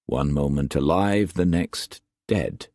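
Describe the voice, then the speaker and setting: A steely merchant captain, read by a man, calm and cold. His voice sits deep and unhurried, every word measured. The recording is dry and close. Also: no room sound, full character voice.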